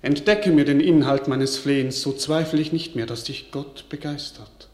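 A man's voice: a little high, moderate pace, a little mellow, reverberant, faint music behind, close to an everyday voice. A man acting an earnest, awestruck royal prince in a classical radio play, declaiming elevated verse with urgency. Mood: awed, urgent. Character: young royal prince, awed, earnest, searching.

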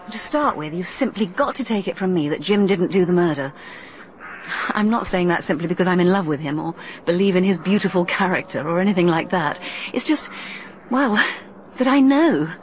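Actress doing a sharp self-assured young woman, radio play, brisk and persuasive, little hiss behind. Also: on the low side, close to an everyday voice. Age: young adult. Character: bold young woman, shrewd and determined. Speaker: radio drama character. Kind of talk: 1980s radio drama acting.

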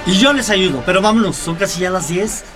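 A man's voice: high voice, fairly quick, over music, lightly acted. An actor playing a devout, grateful family father in a radio soap, with a Mexican accent. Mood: caring.

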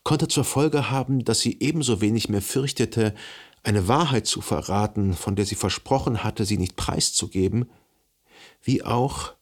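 An actor playing a brooding, melancholy man who recalls a dead lover in reflective, measured radio drama narration. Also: lowish voice. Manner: reflective, measured, analytical monologue.

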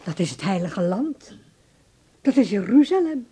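An actress playing a chatty, curious and wistful older aunt in a Dutch radio play. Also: female voice, mid-range voice, very animated, unhurried, warm tone, a little hiss or hum.

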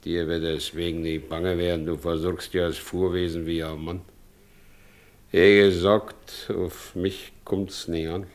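An actor playing a brooding, wounded carter with a Silesian accent and a halting, heavy way of talking in a radio play. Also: male voice, sits low, flat delivery. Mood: grave, resigned. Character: brooding, wounded married carter, stubborn and weary. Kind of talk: naturalistic German radio play acting.

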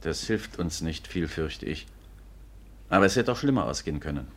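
A measured male police official in a vintage radio play. His very low voice moves freely up and down while staying polite and formal. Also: expressive. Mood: regretful, businesslike. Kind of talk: 1950s German radio play acting.

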